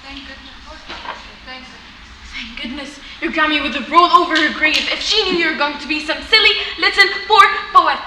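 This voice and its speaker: Woman playing a very high-voiced, melodramatic mother. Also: fast, few pauses, pushed and bright, distant mic. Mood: dismayed. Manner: fretful, melodramatic. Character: fussy, melodramatic, anxious mother of a student. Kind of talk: comedy sketch acting. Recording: echoey room with clear noise.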